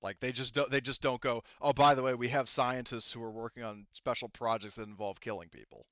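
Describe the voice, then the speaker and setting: A man improvising tabletop game narration as game master, often halting and rephrasing mid-sentence. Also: medium pitch, fairly even intonation, a bit bright, no echo, muffled recording.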